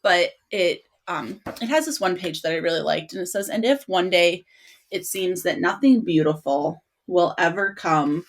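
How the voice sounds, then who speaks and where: A woman with a slightly low voice talks casually as a podcast co-host. She is earnest and expressive, speaking from the heart in a conversational flow. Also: normal speed. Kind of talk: casual book discussion.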